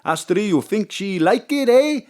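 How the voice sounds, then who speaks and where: A lovesick middle-aged chip shop owner, put on by a woman, speaking broken English. He talks slowly, and his pitch climbs and dips freely. Every line is theatrical and effusive. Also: lively intonation, darkish tone, close to an everyday voice. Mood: eager. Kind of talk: comic radio drama.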